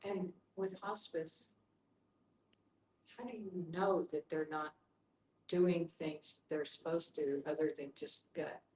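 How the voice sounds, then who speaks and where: Female discussion participant, deep for a woman, casual, roomy recording with a little background noise. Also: fairly even intonation, slow, long pauses, darkish tone. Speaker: discussion participant asking a question. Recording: echoey room with background noise.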